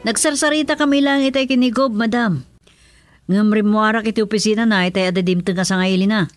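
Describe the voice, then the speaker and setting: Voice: mid-range voice, fairly even intonation, a little fast, soft background music, natural voice. An actor playing a respectful aide in an Ilocano radio drama. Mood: reporting.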